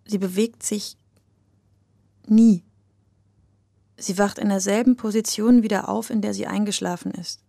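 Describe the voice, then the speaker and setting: Mellow, creaky-voiced woman narrating intimately. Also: medium pitch, fairly even intonation, unhurried, pauses often, no room sound, studio quality. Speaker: narrator of an audio feature.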